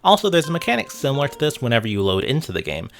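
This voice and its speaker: A man's voice: mid-range voice, big pitch swings, racing, gravelly. A man narrating a scripted video essay about games in a wry, conversational way, with asides to the viewer. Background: music.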